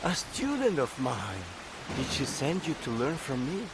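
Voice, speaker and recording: A man playing a wise, melancholy music master in a video game, speaking in a measured, poetic, earnest way. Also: medium pitch, wildly swooping pitch, background noise. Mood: gentle, welcoming. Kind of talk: dramatic video game cutscene acting.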